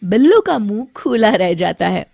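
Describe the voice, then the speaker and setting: A woman reading scripted narration for an animated moral story. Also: medium pitch, moderate pace, vocal fry, no echo, studio quality.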